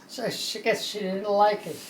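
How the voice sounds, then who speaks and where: A woman in her nineties with a gravelly throat, telling old family stories in a rambling, halting way full of uh's. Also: mid-range voice, steady tone, echoey room, background noise. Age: 90s. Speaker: birthday celebrant. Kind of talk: casual family storytelling.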